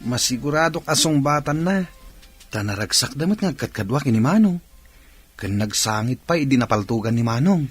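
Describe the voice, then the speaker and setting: An actor with a Filipino accent playing an eager, confident older brother in a village radio comedy drama. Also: male voice, mid-range voice, animated, a little fast, breathy edge, faint music behind. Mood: confident, gossipy.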